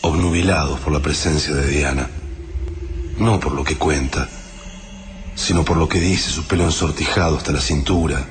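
An actor with an Argentine accent playing a grave, introspective family man who narrates in measured, reflective radio-drama monologue. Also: extremely low pitch, steady tone, background noise. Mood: brooding, reflective.

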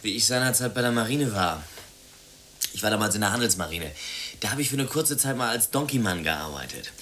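An actor in a German radio drama playing a self-absorbed, sardonic former sailor with a flippant, wordy, theatrical way of talking. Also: male voice, fairly low pitch, expressive, a little fast, sharp tone, a little hiss or hum. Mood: wry, nostalgic.

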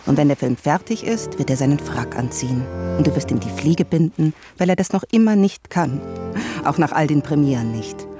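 A woman's voice: low-pitched, animated, moderate pace, music in the background. An actress playing a skeptical, ironic wife who questions her husband's story in a radio drama. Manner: skeptical, probing, sometimes whispering.

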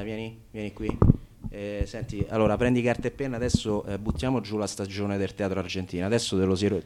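A man's voice: lowish voice, lightly acted. A man playing a self-important city culture councillor in a satirical radio sketch, rambling and evasive. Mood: bossy, scheming. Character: pompous, bumbling Roman city culture councillor.

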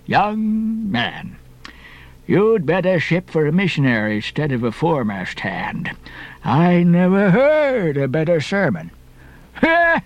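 A man voicing a gruff, blustery old sea captain in an audiobook, hearty and exclamatory. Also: sits high, big pitch swings, takes it slowly, no room sound, muffled recording, full character voice. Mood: excited, hearty.

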